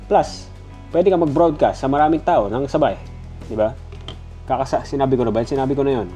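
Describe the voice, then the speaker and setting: A man's voice: medium pitch, fairly quick, dark tone, soft background music. Casual Filipino man with a stuffy nose. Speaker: product reviewer. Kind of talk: casual, conversational gadget review.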